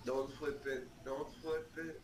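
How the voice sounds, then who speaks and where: A man in casual game roleplay, loud and teasing. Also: fairly high pitch, steady tone, slow pace, echoey room, distant mic, noisy background. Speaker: gamer in a roleplay.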